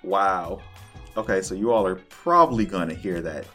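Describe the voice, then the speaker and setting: Male podcast co-host, lively swinging pitch, casual fan chatter, faint music. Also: normal speed. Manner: animated, breaks into laughter easily. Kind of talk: casual chat about anime and games.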